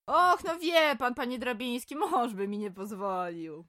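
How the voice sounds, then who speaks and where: High-voiced village shopkeeper. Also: female voice, lively intonation, a little slow, bright, forceful tone, dry recording, studio quality. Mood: polite, uneasy. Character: polite, folksy village shopkeeper woman. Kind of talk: Polish audio drama acting.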